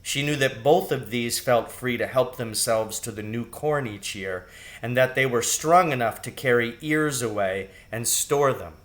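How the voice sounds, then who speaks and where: A male storybook narrator with a medium-pitched voice. He reads a children's novel aloud at a very leisurely pace. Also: a little slow, fairly bright tone.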